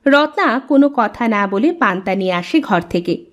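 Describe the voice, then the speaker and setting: A woman's voice: medium pitch, lively intonation, normal speed. A woman reading scripted narration for a cartoon story.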